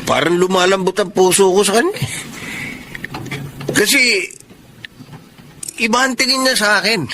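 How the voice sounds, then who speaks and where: An actor playing a stubborn young troublemaker son in a radio drama, blunt and offhand, with a Filipino accent. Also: male voice, high voice, normal speed, pushed and bright, a little hiss or hum. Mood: cheeky, candid.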